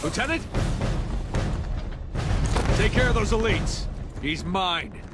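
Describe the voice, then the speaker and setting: An actor playing a tough, defiant soldier with commanding bravado in a video game cutscene. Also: male voice, sits high, lively intonation, slow. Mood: defiant, urgent. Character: tough, defiant human military sergeant. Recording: loud music over the voice.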